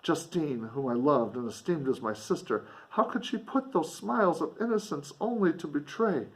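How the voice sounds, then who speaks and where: A man voicing an earnest, kind, grieving young gentlewoman from a gothic novel, with formal, pleading speech. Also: a little high, animated, moderate pace, voice pushed up, low-quality recording. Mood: dismayed.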